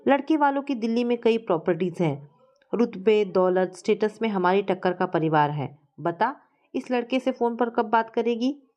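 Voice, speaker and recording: A woman's voice: mid-range voice, normal speed, dark tone, lightly acted. A woman voicing a stubborn, domineering middle-aged mother in a family story, scolding and imperious. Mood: bossy, insistent.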